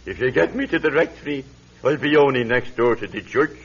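An actor playing a sickly, guilt-ridden old Irish drinker in a radio drama, halting and lamenting in an Irish accent. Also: male voice, mid-range voice, expressive, breathy edge. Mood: mournful, wary. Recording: muffled vintage radio recording, faint hum.

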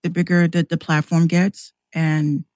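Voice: medium pitch, level tone, measured pace, darkish tone, no room sound, studio quality. A podcast guest who hosts a show, talking casually and at length in a rambling, conversational way.

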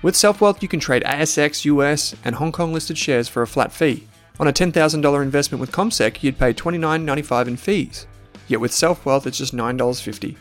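An Australian man hosting an investing podcast, reading an ad and then telling a story to his guest in a chatty way with fillers. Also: a little high. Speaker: podcast host. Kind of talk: podcast interview with read ad.

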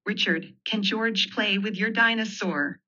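A woman's voice: medium pitch, unhurried, bright, forceful tone. A woman voicing a kindly, patient mother rabbit in a storybook, coaxing in a motherly way. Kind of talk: storybook character voice. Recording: dull lo-fi audio.

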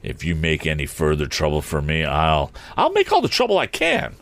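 A man's voice: very deep voice, flat delivery, normal speed, fairly bright tone, dry recording, voice pushed down. A man voicing a bold young inventor hero in a storybook, earnest, indignant and defiant. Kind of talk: audiobook character acting.

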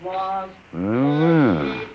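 An actor playing a suave, mock-polite gentleman crook who menaces people in an old radio drama. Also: on the high side, huge pitch swings, drawn-out words, lo-fi sound, full character voice. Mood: cool, threatening. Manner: mock-polite, drawling endearments. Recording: noisy vintage radio recording.

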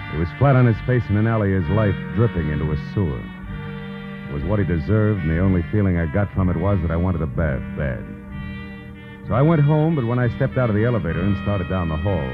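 An American actor playing a cynical, world-weary private detective in a 1940s radio noir drama, terse and tough-talking. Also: male voice, sits low, phone-quality sound. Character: hard-boiled, world-weary male private detective narrator. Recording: band-limited old radio recording with music.

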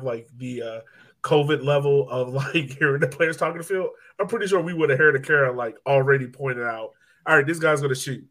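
A man's voice: mid-range voice, a bit bright. A man hosting a soccer podcast, talking casually and conversationally, often saying "like" and "you know". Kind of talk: casual sports talk.

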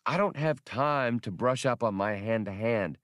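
A man voicing a spirited, quick-witted woman traveler with a playful, teasing manner in an audiobook. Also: mid-range voice, fairly bright tone, dry recording, clean studio sound, natural voice. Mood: sharp retort.

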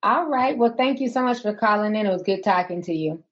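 A woman's voice: mid-range voice, lively intonation, fairly quick, dull audio. A woman hosting a call-in show, greeting callers and prompting them with short replies. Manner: brief affirming responses. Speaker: talk show host.

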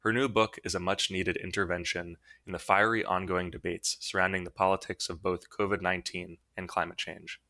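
A man's voice: deep voice, little pitch movement, fairly quick, few pauses, sharp tone, no echo, studio quality. A man hosting an academic book podcast, reading a formal introduction and then asking interview questions. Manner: formal, read-aloud delivery. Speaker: podcast host, assistant editor.

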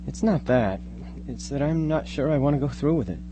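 A man's voice: mid-range voice, expressive, fairly quick, background noise, natural voice. A man playing an anxious, earnest young son in a live radio drama. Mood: worried, earnest. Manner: earnest, worried.

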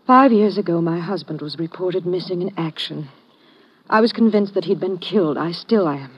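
A woman playing an anxious, hesitant jailed wife with a breathy voice in an old radio drama. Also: on the low side, normal speed. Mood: pleading. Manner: hesitant, pleading. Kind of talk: 1950s radio drama acting. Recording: dull old radio recording.

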